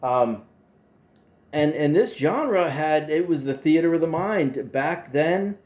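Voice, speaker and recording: A man hosting a casual monologue to his viewers in a folksy, playful way, halting and repeating himself as he goes. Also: fairly high pitch, animated, a little mellow. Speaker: host.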